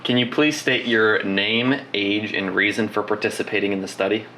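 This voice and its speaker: A man's voice: fairly low pitch, steady tone, moderate pace, bright, forceful tone. A young man in his twenties running a homemade study and asking the others plain questions, recorded from a distance in an echoey, noisy room. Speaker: study organizer and interviewer. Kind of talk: casual on-camera interview.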